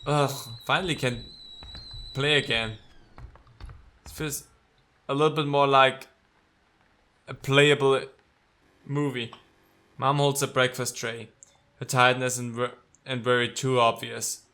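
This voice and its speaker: A man's voice: mid-range voice, steady tone, unhurried, long pauses, bright, forceful tone. A man doing let's play commentary, reading game text aloud and reacting with sarcasm and exasperated swearing. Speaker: let's player.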